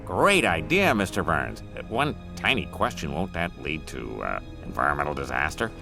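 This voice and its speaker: An obsequious cartoon henchman with an extremely deep, creaky voice. He is anxious and hesitant, eager to please, and music plays behind him. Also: very animated, normal speed, exaggerated voice. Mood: timid, doubtful. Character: fawning, anxious male assistant to a rich villain.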